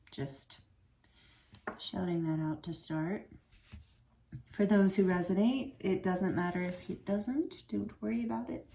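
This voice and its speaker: A woman with a slightly rough throat giving a casual tarot card reading, rambling and correcting herself with many fillers. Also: fairly low pitch, noisy background. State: throat a little rough from fighting off a cold. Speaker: tarot reader.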